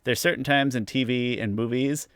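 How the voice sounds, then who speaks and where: A man's voice: mid-range voice, fairly even intonation, normal speed, fairly bright tone, clear, resonant tone, no echo, clean studio sound. A man co-hosting a podcast, chatting casually and with opinions about a TV show. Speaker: podcast co-host. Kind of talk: casual TV review chat.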